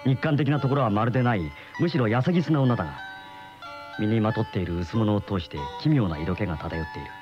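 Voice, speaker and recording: A man's voice: lowish voice, fast, natural voice. An actor playing a pensive man in his thirties with a secret obsession, in a radio drama. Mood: brooding. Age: 30s. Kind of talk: radio drama acting with first-person narration. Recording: dull lo-fi old radio recording, music behind.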